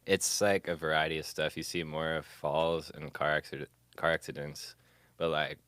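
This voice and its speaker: A man's voice: very deep voice, flat delivery, normal speed, a bit bright, dry recording. A man telling stories as a podcast guest in casual, slangy, informal conversation. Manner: informal, slangy, says bro and like. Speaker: podcast guest, music producer. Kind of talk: casual chat, storytelling.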